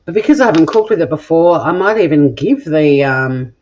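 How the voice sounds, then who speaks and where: A woman's voice: low voice, lively intonation, fairly quick, darkish tone, slight background noise. Chatty Australian woman in a lo-fi kitchen. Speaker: cooking vlog host. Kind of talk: casual chat, live cooking stream reading viewer comments. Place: home kitchen. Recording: dull lo-fi home recording.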